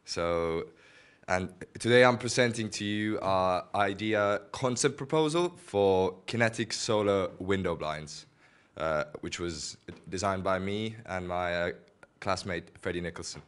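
Low, smooth-voiced young man losing his voice. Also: a bit bright. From Estonia. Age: 20s. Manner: halting, apologetic, with many fillers. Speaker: student presenter. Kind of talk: conference presentation. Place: small gallery room with audience. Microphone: handheld microphone.

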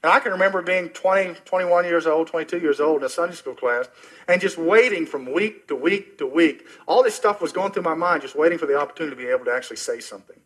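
A middle-aged man preaching a sermon as pastor, earnest and personal, often dropping soft and low at the ends of phrases. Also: quick pace, slightly rough, low-quality recording. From Oklahoma, US.

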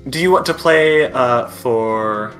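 A man's voice: medium pitch, slow pace, fairly bright tone, faint music behind. A game master, a man, narrating and explaining a tabletop roleplaying game.

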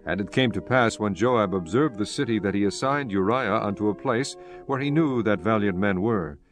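A man with a fairly low voice narrates scripture. His delivery is measured and solemn, as a scripted reading, and music plays clearly behind him.

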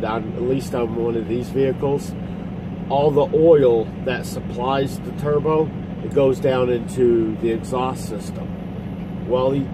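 A middle-aged man, a truck driver, talking casually to his phone camera from the cab of his parked truck, rambling and halting as he explains. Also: mid-range voice. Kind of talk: casual vlog commentary. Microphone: phone camera at arm's length. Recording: phone recording in cab with engine noise.

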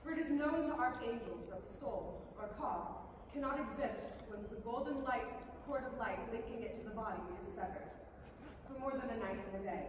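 Female literary reader, dramatic storyteller, distant theatre recording with clear background noise. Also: a little high, moderate pace. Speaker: stage reader. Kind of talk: literary staged reading, scripted narration. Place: large theatre stage, indoors. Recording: echoey theatre hall from far off, noisy.

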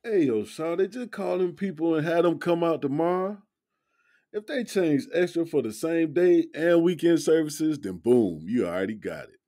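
A man's voice: a little high, animated, normal speed, mellow, lightly acted. An actor playing a protective, street-smart young man in an audio drama, speaking in slangy, assertive dialogue. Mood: reassuring, urgent.

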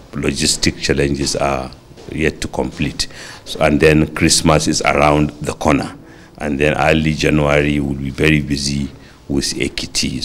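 A middle-aged man from Nigeria giving a formal press briefing in an indoor corridor, measured and pausing with fillers. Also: extremely low pitch. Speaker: governor speaking to press. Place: indoor corridor, surrounded by reporters. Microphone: many handheld reporter mics.

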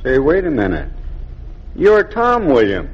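A man's voice: medium pitch, wildly swooping pitch, measured pace, husky, heavily acted. An actor playing a kindly, middle-aged American president with a folksy, fatherly, musing manner in a 1940s-style radio drama. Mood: surprised, fond. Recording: muffled old 1940s radio recording.

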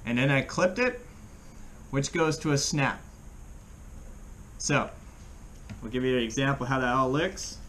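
A middle-aged American man giving a casual how-to demonstration in a plain-spoken, halting way, recorded indoors by a camera across a table. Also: medium pitch, a bit bright. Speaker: fishing channel host. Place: small room at home, indoors. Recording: clear background room noise.

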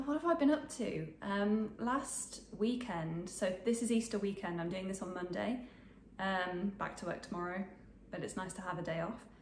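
A woman's voice: medium pitch, echoey room, a little hiss or hum. A woman in her twenties with a bit of a cold, chatting casually about sewing to camera from her sewing room, rambling with lots of ums. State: snuffly from a cold. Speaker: sewing vlogger. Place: home sewing room, indoors.

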